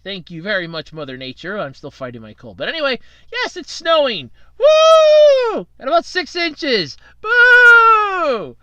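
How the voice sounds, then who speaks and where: A man's voice: very high voice, huge pitch swings, measured pace, sharp tone, dry recording. A young man hosting a casual solo podcast, rambling and jokey while fighting a cold and tired from night shifts. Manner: rambling, chatty, self-deprecating, jokey. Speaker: podcast host.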